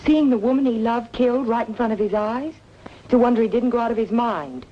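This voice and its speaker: An actress playing a curious, politely guarded young niece in a mid-century drama, speaking with composure. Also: female voice, on the high side, a little fast, natural voice. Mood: earnest. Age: young adult. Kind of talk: mid-century American film drama acting. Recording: dull, noisy vintage soundtrack.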